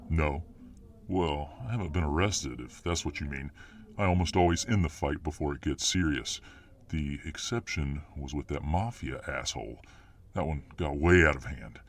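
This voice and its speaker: A very low-pitched muscleman fireman voiced by a male actor for an ASMR audio drama. He is dominant and boastful, with a cocky swagger. He is protective of his lover and quick to bristle. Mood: cocky, casual. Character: dominant muscular alpha fireman boyfriend, boastful, protective, hot-tempered.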